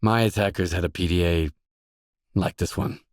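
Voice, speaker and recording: An actor voicing a gruff, guarded stalker mercenary in a video game, blunt, terse and no-nonsense. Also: male voice, sits low, steady tone, moderate pace, dry recording, clean studio sound, lightly acted. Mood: guarded, matter-of-fact. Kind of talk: video game dialogue lines.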